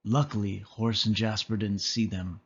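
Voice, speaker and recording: A man reading a children's story aloud as an expressive narrator. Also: fairly low pitch, normal speed, husky, highs cut off. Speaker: storybook reader.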